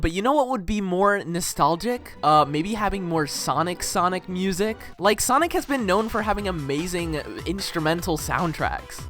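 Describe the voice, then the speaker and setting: A YouTuber giving a casual, excitable film review in informal slang, with playful mock outrage and exclamations. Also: medium pitch. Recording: voice over background music. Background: music.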